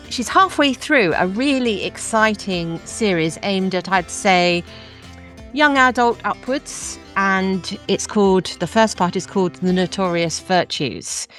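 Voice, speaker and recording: A woman hosting a podcast interview, asking measured, thoughtful questions. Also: medium pitch, a bit bright. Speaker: podcast host.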